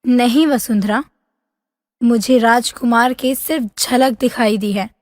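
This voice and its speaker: A woman playing a wistful, lovesick young princess in a fairy tale, with a plaintive, drawn-out, dramatic delivery. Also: fairly high pitch, clean studio sound, natural voice. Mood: wistful, troubled. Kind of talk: animated fairy-tale voice acting. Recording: long hall-like reverb.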